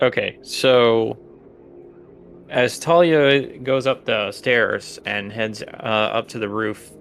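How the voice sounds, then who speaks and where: Male game master, low and croaky, improvising narration in halting phrases. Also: fairly bright tone, a little hiss or hum.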